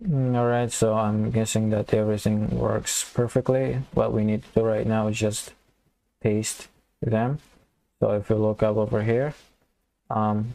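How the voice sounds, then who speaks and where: A man teaching a coding lesson, thinking aloud and restarting phrases as he types, his voice slightly hoarse. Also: lowish voice, dark tone. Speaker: programming tutor. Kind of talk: casual screen-recorded coding tutorial.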